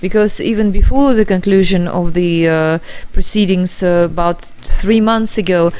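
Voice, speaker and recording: A woman of forty-three, a guest, answering in a halting way and searching for words. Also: fairly low pitch, darkish tone, smooth. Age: 40s. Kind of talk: interview answers.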